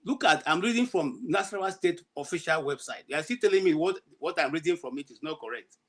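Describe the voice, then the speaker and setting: A man's voice: fairly high pitch, expressive, fast talker. A man with a Nigerian accent hosting a talk show and arguing heatedly, insistent and indignant, often repeating himself. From Nigeria, Igbo-speaking southeast. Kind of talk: heated panel argument.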